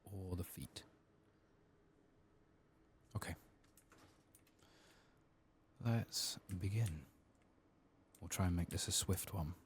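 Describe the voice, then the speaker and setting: A man speaking softly and often whispering in ASMR style as he comments on a game he plays, close to the microphone. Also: low-pitched, slight background noise. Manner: hushed, reads quest text aloud slowly. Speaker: ASMR gaming streamer narrating a playthrough.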